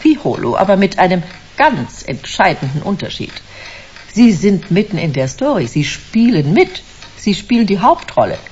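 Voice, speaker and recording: A woman's voice: mid-range voice, sing-song, moderate pace, a little mellow, lightly acted. An actress playing a smug, commanding company director in a German sci-fi radio drama, suave and theatrical, savouring her words. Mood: seductive sales pitch. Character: smug, commanding female corporate director selling a drug. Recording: dull, hissy radio play recording.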